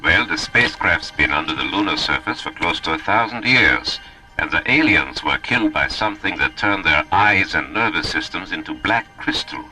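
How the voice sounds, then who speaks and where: Low, sharp-edged grave professor. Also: male voice, expressive, moderate pace, faint music behind, full character voice, low-quality recording. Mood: grave, explaining. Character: learned male professor, grave and matter-of-fact scientist. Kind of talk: radio drama acting.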